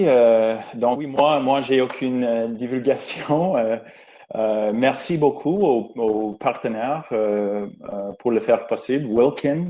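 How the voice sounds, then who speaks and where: A man whose first language is English, a pulmonologist giving a webinar lecture, pausing often with fillers as he searches for words, speaking over a video call. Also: medium pitch, dark tone.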